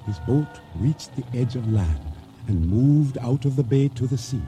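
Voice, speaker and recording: An actor playing a wary boat owner who tells his tale gravely and deliberately in a radio drama. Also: mid-range voice, animated, warm tone, a little hiss or hum, natural voice. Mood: grave, regretful. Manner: deliberate, solemn, measured storytelling. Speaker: narrator and lead actor. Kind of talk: radio drama acting, first-person storytelling. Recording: radio drama with faint music underneath.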